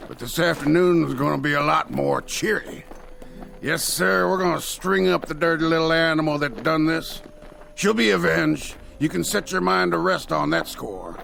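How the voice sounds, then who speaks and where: An actor playing a cruel, folksy Old West peddler in a radio drama, with a gravelly American drawl and a goading manner. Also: male voice, fairly high pitch, moderate pace, a bit bright, slight background noise. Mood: scornful. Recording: music underneath.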